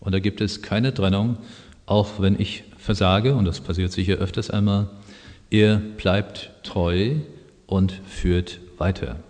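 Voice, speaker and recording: Male preacher, lowish pitch, conversational explanatory sermon delivery, long hall reverb. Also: steady tone, darkish tone. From Germany. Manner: conversational, explanatory, pausing with fillers. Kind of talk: sermon with personal anecdotes and Bible quotations.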